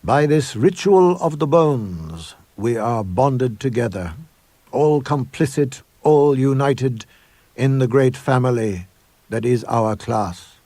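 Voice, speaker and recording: A man's voice: mid-range voice, animated, slow, mellow, no room sound, voice pushed up. A man voicing a proud, sinister older school headmaster in a supernatural tale, pompous and theatrical in his delivery. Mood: grandiose, declamatory. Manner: theatrical, declamatory, grandiose.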